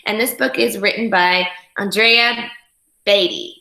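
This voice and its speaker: A woman reading a storybook aloud to young children in an expressive, teacherly way, with instructive asides to her listeners. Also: mid-range voice, unhurried, vocal fry. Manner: animated, instructional, talks directly to young listeners. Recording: echoey room.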